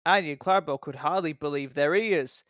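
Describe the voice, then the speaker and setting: A man's voice: a little high, a little fast, a bit bright, clear, resonant tone, no room sound, clean studio sound. A man reading a scripted children's story as the narrator.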